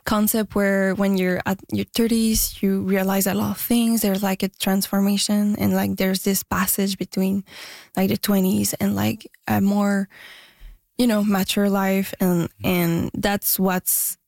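A woman, answering interview questions as a musician in a soft, hesitant way, with lots of fillers and restarts. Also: medium pitch, little pitch movement, a little slow, vocal fry, no room sound, studio quality. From Quebec, Canada. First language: French and Spanish. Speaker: musician guest.

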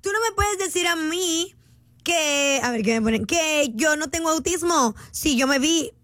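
A young Mexican woman streaming, chatting in an animated, joking, rambling way. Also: high voice, a little slow, no echo. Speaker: streamer reading viewer voice notes. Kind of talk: casual livestream chat.

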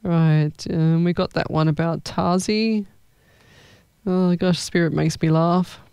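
A woman's voice: on the low side, fairly even intonation, slow, creaky, a little mellow, clean tone, no echo, studio quality. A woman hosting a casual live chat as a psychic medium, talking in an informal, exclaiming way.

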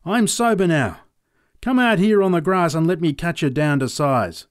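Man voicing a gruff farmer. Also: on the high side, big pitch swings, normal speed, darkish tone, no room sound, studio quality, pitched above the performer's own voice. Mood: defiant. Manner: gruff, swearing, complaining. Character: rough, hard-drinking adult male farmer, blunt and boastful.

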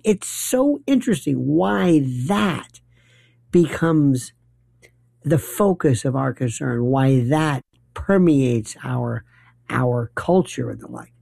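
An older man hosting a late-night call-in radio show, musing aloud in a rambling, opinionated monologue with animated asides. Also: medium pitch, gravelly, no echo, studio quality. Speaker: radio talk show host. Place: radio studio.

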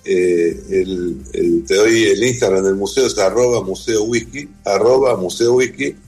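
A man's voice: lowish voice, darkish tone, breathy edge, poor audio. A man with a Buenos Aires accent, talking conversationally about his own experience as an interview guest. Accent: Argentine, Buenos Aires. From Argentina. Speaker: interview guest, whisky museum owner. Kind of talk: conversational interview, personal account.

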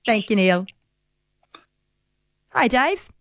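A woman's voice: fairly high pitch, expressive, very slow, no echo, studio quality. A woman from Auckland with a New Zealand accent, hosting a live talkback phone-in in a chatty style. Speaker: talkback radio host.

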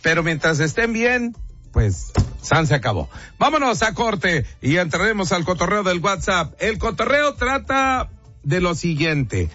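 A man's voice: sits high, big pitch swings, a little slow, bright, forceful tone, dry recording. A man with a northern Mexican accent hosting a casual call-in radio show, colloquial and repetitive, talking directly to his listeners. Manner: colloquial, repeats himself, talks directly to listeners. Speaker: radio host. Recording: dull lo-fi radio sound, music behind. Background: music.

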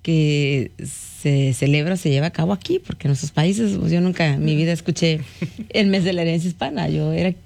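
A woman's voice: low-pitched, brisk, gravelly, darkish tone, dry recording. A woman from Mexico hosting a morning radio talk show, chatty with frequent fillers and backchannel interjections.

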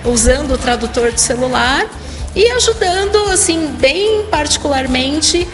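A woman's voice: high voice, lively intonation, unhurried, long reverb tail, music in the background. A woman school vice-principal explaining in an interview, with small restarts. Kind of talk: interview soundbite.

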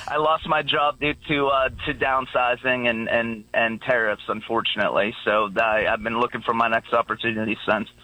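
A man's voice: mid-range voice, fairly even intonation, moderate pace, bright, forceful tone, no echo, rough recording. A man calling in to a radio show, easygoing and rambling, who laughs often. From Pittsburgh area. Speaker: caller. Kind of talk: phone-in conversation.

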